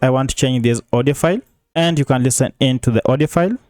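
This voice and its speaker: A man's voice: medium pitch, fast talker, a little mellow, clean tone, no room sound. A man narrates a screencast tutorial in a mid-range voice. He explains each step plainly, as an instructor would, on a close, dry recording. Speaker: software tutorial presenter.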